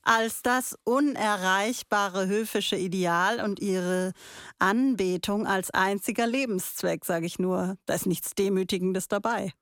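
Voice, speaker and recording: A woman speaks in a measured, articulate, pointed way, as a co-host in conversation. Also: mid-range voice, no echo, clean studio sound. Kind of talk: conversational discussion, posing questions.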